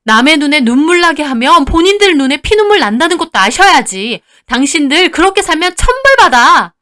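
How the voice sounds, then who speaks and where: Lady doing a furious protective big sister in a story, yelling, really high voice. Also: big pitch swings, a little fast, full character voice. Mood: outraged. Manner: shouting, confrontational. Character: fiercely protective, outraged older sister.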